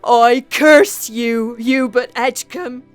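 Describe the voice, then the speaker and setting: Anguished mad mother in old melodrama, high voice, really drawn out, cursing type. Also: steady tone, dry recording, faint music behind. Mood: bitter cursing. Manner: slow, declamatory, anguished. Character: grief-mad mother cursing her daughter's murderer. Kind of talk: Victorian melodrama audio acting.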